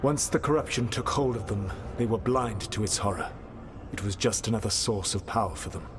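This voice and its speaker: An actor playing an ancient elven god in a video game, speaking in a grave, formal and measured way with a learned air. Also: male voice, fairly low pitch, background noise, close to an everyday voice. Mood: grave regret. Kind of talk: dramatic video game dialogue.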